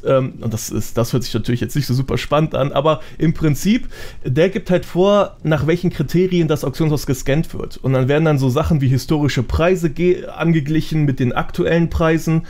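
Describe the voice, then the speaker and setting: Male podcast guest, slightly high voice, chatty explainer with a self-deprecating streak. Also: normal speed, a little mellow. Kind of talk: casual podcast chat.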